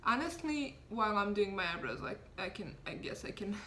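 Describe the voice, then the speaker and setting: A woman's voice: medium pitch, expressive, a little fast, bright, forceful tone. A woman in her twenties from Serbia chats her way through a makeup routine at home. She sounds self-deprecating and loose, and her mid-range voice carries lots of "you know" and "like." A faint hiss of noise sits under the recording. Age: 20s. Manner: chatty, self-deprecating, many fillers. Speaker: beauty vlogger. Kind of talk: casual makeup tutorial chat.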